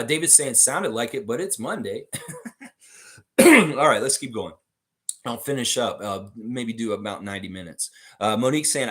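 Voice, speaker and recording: A man's voice: medium pitch, a bit bright. A man hosting a casual fan live stream, reading viewer comments aloud and answering them in a rambling, upbeat way.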